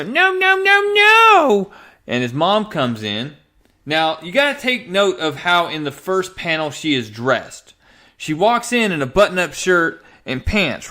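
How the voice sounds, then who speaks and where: A man's voice: on the high side, a bit bright. A man giving casual review commentary as a comic book reviewer, in a sarcastic, exasperated manner.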